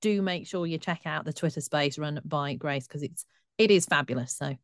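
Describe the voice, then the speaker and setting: A woman, co-hosting a podcast in a brisk, upbeat, chatty way. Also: sits low, no echo, clean studio sound. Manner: brisk and upbeat, presenting the show. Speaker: co-host. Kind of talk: casual podcast chat.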